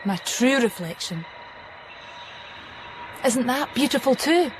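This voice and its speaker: Eerily assured otherworldly daughter, fairly high-voiced. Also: female voice, animated, slow, background noise. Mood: coaxing. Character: otherworldly daughter, eerily assured, luring her mother away. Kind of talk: radio drama acting.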